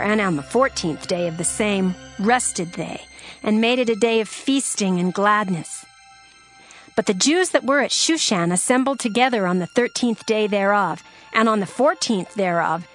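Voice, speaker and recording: A woman reads scripture as the narrator, with a steady, formal delivery. Soft music plays quietly behind her voice. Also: mid-range voice.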